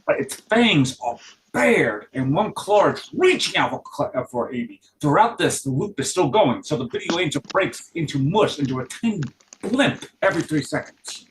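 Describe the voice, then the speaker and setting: Intense, higher-pitched man reading stage directions. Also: slight background noise, poor audio. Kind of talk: dramatic script narration.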